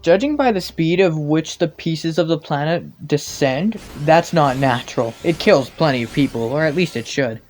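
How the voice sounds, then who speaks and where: Male video commentator, fairly high voice, very lively intonation, sarcastic nitpicker, light music behind. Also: normal speed, hardly any pauses. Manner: sarcastic, pedantic.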